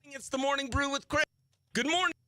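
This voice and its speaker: A man's voice: very high voice, a little fast, pushed and bright. An American man hosting a morning radio show, bantering informally and running call-in games in an upbeat, chummy way. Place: small radio station studio.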